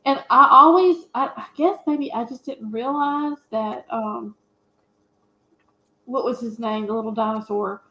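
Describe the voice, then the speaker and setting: Female panelist, fairly high voice, roomy echoing sound, halting casual delivery. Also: pushed and bright. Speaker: film review panelist. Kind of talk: casual chat.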